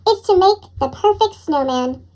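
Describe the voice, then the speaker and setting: A narrator voicing an earnest, curious young boy in a storybook, with a squeaky chipmunk voice effect. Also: expressive, normal speed, a little mellow, low-quality recording. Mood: curious, puzzled. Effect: chipmunk voice pitch-up effect.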